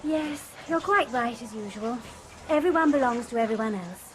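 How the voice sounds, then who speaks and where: A woman's voice: fairly high pitch, lively intonation, normal speed, noisy background, natural voice. An actress playing a breezy, playful young woman chatting with a friend. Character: light, easygoing young woman.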